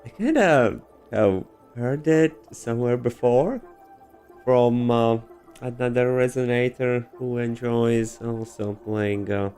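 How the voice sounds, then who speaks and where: A man giving casual, halting reactions while playing a video game, muttering short comments. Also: medium pitch, fairly even intonation, darkish tone, faint music behind.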